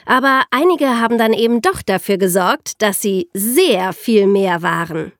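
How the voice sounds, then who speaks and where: A woman's voice: medium pitch, animated, measured pace, dry recording, studio quality. A woman co-hosting a podcast, telling history in an explanatory way for young listeners. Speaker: podcast co-host and narrator.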